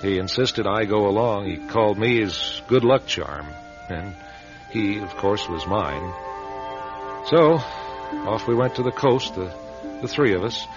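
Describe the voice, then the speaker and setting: An actor playing a wry, wistful American man who narrates a radio drama in a reflective, halting, confiding way. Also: on the low side, lively intonation. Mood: wry, reminiscing. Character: wistful, wry man narrating his charismatic friend's rise. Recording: dull radio recording with loud music.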